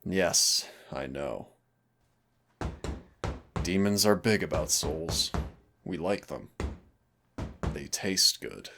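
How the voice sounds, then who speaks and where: A man playing a teasing but stern demon hunter in an audio roleplay, speaking deliberately with dramatic pauses in a gravelly, husky voice. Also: sits low, animated, noisy background, heavily acted. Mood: grave, wry. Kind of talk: audio roleplay acting, intimate second-person dialogue.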